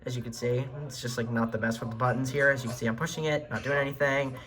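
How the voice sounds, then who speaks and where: A man's voice: mid-range voice, little pitch movement, quick pace, fairly bright tone. A man giving a casual show-and-tell tour of a radio collection as an enthusiastic hobbyist.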